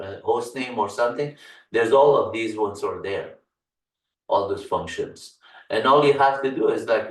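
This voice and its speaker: A man from Afghanistan with an Afghan accent, a native Farsi speaker, teaching a Linux course in a screen-share walkthrough and speaking haltingly with many "uh" fillers. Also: on the low side, recorded from a distance. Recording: roomy echo, mic set back.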